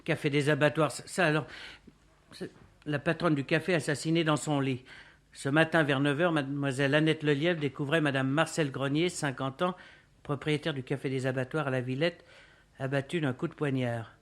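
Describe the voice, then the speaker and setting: Fairly high-voiced, slangy good-natured sidekick played by a man. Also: steady tone, normal speed, lightly acted. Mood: reading, shocked. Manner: colloquial, exclamatory. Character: good-natured, slangy working-class sidekick, loyal and excitable. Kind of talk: French radio-play acting.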